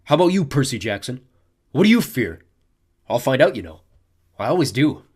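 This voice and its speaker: A man's voice: mid-range voice, sing-song, normal speed, darkish tone, husky, voice pushed up. A man voicing a sneering teenage god of fear who taunts and mocks. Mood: taunting, smug. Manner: taunting, mocking, smug. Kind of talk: audiobook character voice.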